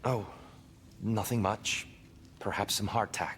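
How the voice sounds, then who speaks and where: Broken old-world god for a game: lowish voice, meek, halting, reverberant. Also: male voice, fairly even intonation, slight background noise. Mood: meek, humble. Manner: halting, gentle, subdued. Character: broken, weary, humble giant war god freed from captivity. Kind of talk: dramatic video game acting.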